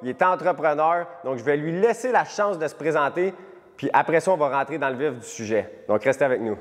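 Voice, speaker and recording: A man with a Québécois accent hosting a casual podcast interview, chatty and quick to jump in with asides. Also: on the high side, expressive. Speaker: podcast host. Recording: long hall reverb.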